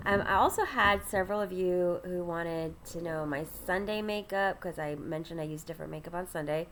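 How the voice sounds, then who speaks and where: A woman's voice: on the low side, a little fast, fairly bright tone, slight background noise. A woman with a congested, stuffy nasal voice, chatting casually while she does her makeup and rambles with lots of ums. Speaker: ministry host. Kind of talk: casual get-ready-with-me chat.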